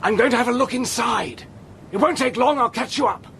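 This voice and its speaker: An actor narrating and playing a brave, level-headed British army major, telling the story in a crisp, steady way. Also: male voice, extremely high pitch, a little fast, slight background noise, exaggerated voice. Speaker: narrator and hero. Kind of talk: radio play narration and acting.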